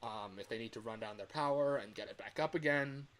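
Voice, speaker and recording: A man's voice: mid-range voice, a little fast, a bit bright, a little hiss or hum. Rambling man chatting casually on a livestream. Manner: rambling, repeats phrases, often chuckles. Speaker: livestreamer and hobby game developer.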